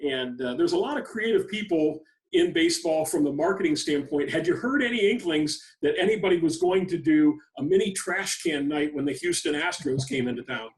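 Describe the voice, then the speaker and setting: A man with a slightly higher-pitched voice hosts a podcast interview. He puts questions in a conversational way. His sound is noticeably roomy and a bit far from the microphone. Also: expressive, a little fast, few pauses. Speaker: podcast host. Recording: roomy, distant from the mic.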